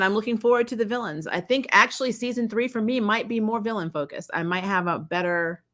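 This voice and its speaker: Bright, low-pitched woman, blunt and opinionated. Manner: blunt, mildly sweary. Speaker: host of a fan review channel. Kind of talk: casual opinionated trailer commentary.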